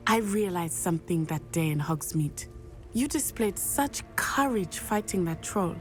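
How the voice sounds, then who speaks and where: A woman's voice: medium pitch, lightly acted. An actress playing an earnest young female student in a video game, from Matabeleland, speaking formally and measuredly. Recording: game audio with faint music.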